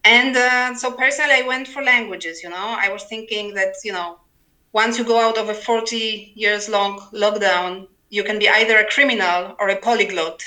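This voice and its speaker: Female writer guest, forties, bright forward voice, wry and humorous in remote conversation. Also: medium pitch, steady tone, normal speed, rough recording. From Poland. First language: Polish. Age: 40s. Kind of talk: conversational interview. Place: remote internet call.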